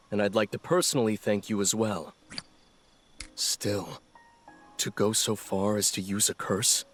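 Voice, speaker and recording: A man's voice: on the low side, lightly acted. A man playing a composed, earnest naval intelligence officer in a video game, speaking formally and seriously. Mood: earnest, concerned. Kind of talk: video game dialogue, measured exposition.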